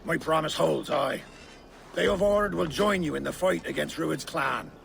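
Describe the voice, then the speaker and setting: An actor playing an earnest, formal Saxon reeve in a dramatic video game. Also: male voice, a little high, unhurried, creaky, a bit bright, a little hiss or hum, full character voice.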